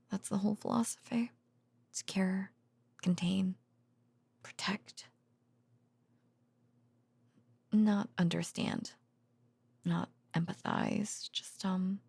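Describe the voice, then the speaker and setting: Woman acting a tender, creaky-voiced researcher girlfriend at bedtime. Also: mid-range voice, steady tone, darkish tone, heavily acted. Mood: rueful. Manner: hesitant, halting, sighing, drifting into whispers. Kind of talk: intimate ASMR girlfriend audio roleplay.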